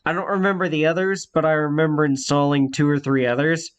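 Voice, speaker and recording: A man's voice: on the high side, flat delivery, fairly quick, clean tone. A congested young man telling a rambling personal story in a casual vlog, with many fillers and restarts. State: congested from a cold.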